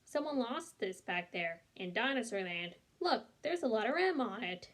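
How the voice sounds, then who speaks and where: A woman's voice: a little high, sing-song, moderate pace, a bit bright. A woman reading the part of a puzzled, earnest and cautious young boy in a storybook, with a questioning manner.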